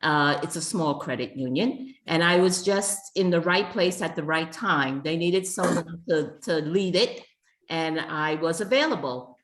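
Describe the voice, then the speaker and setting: A woman in her sixties, recently retired from banking, speaking slowly with many hesitant fillers on a panel. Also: low-pitched, a bit bright, roomy sound. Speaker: panelist, credit union president. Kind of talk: online panel talk.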